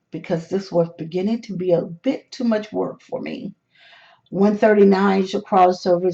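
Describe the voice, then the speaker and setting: Slow, gravelly, hoarse tutorial voice. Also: mid-range voice, dark tone, muffled recording. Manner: slow, step-by-step explaining with hesitant restarts. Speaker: lottery number tutorial host. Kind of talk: screen walkthrough of number charts.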